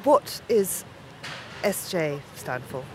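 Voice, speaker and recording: A woman's voice: fairly low pitch, big pitch swings, takes it slowly, warm tone, a little hiss or hum, rough recording. A woman from Zimbabwe who hosts the interview, introducing her guest and asking direct questions in a composed manner. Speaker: interviewer host. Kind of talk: radio interview.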